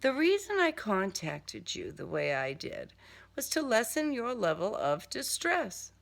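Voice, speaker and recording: A woman voicing a suave male serial killer with courteous, formal, unhurried speech, in a crime story reading. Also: mid-range voice, wildly swooping pitch, creaky, pitched above the performer's own voice, exaggerated voice. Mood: calm, explaining.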